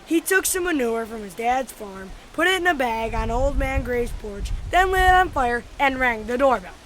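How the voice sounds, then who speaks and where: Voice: mid-range voice, animated, normal speed, a bit bright, a little hiss or hum, natural voice. A youngster playing a jokey schoolboy sidekick in an amateur radio drama. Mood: amused. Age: child. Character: joking schoolboy sidekick of a prankster.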